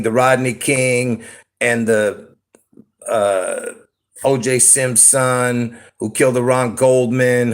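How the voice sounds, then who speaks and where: A man from Lebanon rambling through a solo livestream, often stopping to ask "okay?" or "all right?" and chuckling between thoughts. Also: mid-range voice. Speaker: YouTube livestreamer. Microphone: phone.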